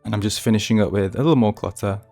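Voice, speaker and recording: Male host, a bit under the weather, chatty ranting game commentary, lowish voice, soft music underneath. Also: very fast, creaky. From United Kingdom. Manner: chatty, ranting, self-deprecating asides. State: has a cold. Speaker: gaming channel host. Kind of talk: casual gameplay commentary.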